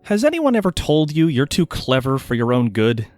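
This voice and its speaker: A man's voice: on the high side, huge pitch swings, normal speed, darkish tone, dry recording, faint music behind. An actor playing a guarded, wry male mage inventor in an audio drama, speaking hesitantly and intimately. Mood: wry, reserved.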